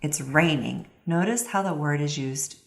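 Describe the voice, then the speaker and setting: A woman with a fairly low voice interprets an instructional presentation as a voice-over. She explains in measured, clearly separated phrases. The sound is noticeably roomy and reverberant.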